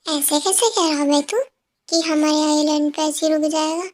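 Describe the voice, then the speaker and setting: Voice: sits high, steady tone, moderate pace, natural voice. A voice actor playing a vain, sassy female mermaid who talks in brash street slang.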